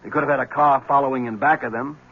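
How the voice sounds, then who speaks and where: An actor playing a weary police lieutenant who talks in a dry, matter-of-fact way in a 1970s radio drama. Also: male voice, mid-range voice, fairly even intonation, quick pace, husky. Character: weary male police lieutenant at his desk. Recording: muffled old radio broadcast with hiss.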